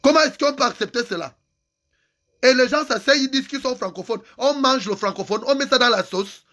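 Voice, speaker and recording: Male activist, unusually high-pitched, angry and insistent. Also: brisk, sharp tone. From Cameroon. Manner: angry, insulting, repeats phrases for emphasis. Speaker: activist vlogger. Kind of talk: impassioned political rant.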